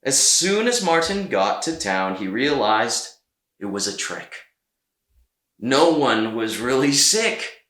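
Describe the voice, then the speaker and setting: Animated, slow-paced male children's storyteller. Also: mid-range voice, echoey room. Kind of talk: animated children's read-aloud narration.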